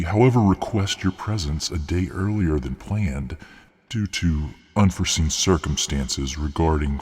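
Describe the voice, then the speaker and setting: A cavernous reverb surrounds a stern council steward , acted by a man. His voice is extremely deep and rough-edged. He reads an official letter formally, as in a fantasy audio drama. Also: a little mellow, full character voice. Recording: long cavernous hall reverb.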